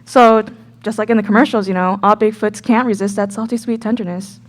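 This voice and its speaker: A young woman acting as an easily scared myth geek, telling her story in a casual, halting, earnest way. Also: medium pitch, steady tone, close to an everyday voice. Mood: earnest recounting. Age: young adult. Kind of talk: scripted radio play storytelling.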